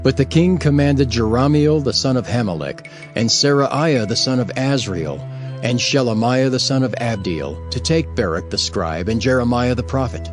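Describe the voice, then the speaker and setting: Casting: man to narrate dramatized scripture, formal and expressive, medium pitch, clear music bed. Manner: formal, measured storytelling. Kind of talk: dramatized King James Bible narration.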